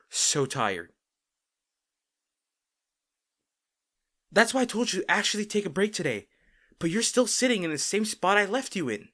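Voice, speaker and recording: Man playing a worried boyfriend with expressive swings. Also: high-pitched, exaggerated voice. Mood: worried, pleading. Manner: earnest, pleading, emphatic, with sighs. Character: worried, caring boyfriend pleading with overworked partner. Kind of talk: intimate roleplay audio drama.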